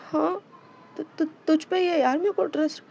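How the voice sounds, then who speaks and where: A woman's voice: extremely high pitch, fairly even intonation, moderate pace, vocal fry, a little mellow, voice pushed up. A woman voicing a distraught young woman who cries and stammers as she begs her spouse not to leave. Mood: sobbing. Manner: stammering, tearful pleading. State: crying.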